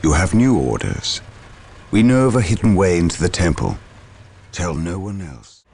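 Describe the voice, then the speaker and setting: Deep, gravelly military officer. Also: male voice, sing-song, moderate pace, darkish tone, slight background noise, exaggerated voice. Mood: urgent, secretive. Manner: curt, commanding. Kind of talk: dramatic video game cutscene acting.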